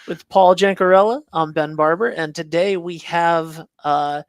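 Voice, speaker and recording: Higher-pitched man hosting a show. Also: unhurried, clean tone, no room sound. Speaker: show host.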